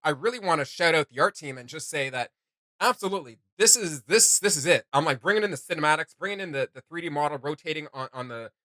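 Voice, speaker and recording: A man's voice: a little high, animated, quick pace, pushed and bright, no echo. A man hosting a reaction channel, giving casual, enthusiastic commentary and often thinking aloud as he goes.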